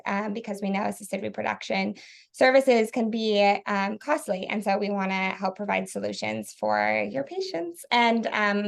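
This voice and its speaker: Woman hosting an interview, casual talk, pretty creaky voice. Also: medium pitch. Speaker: interview host, company representative.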